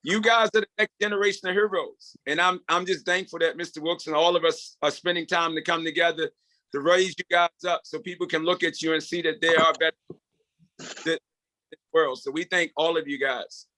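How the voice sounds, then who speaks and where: A man's voice: on the high side, steady tone, fairly quick, pushed and bright, dry recording, low-quality recording. A man giving an earnest, rambling motivational talk to a group over a video call. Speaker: project co-leader.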